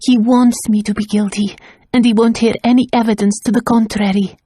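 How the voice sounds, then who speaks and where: A frightened, loving young noblewoman pleads softly in a slightly husky voice. A woman narrator voices her. The sound is dry and close. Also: on the high side, little pitch movement, brisk, dry recording, voice pushed up. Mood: pleading.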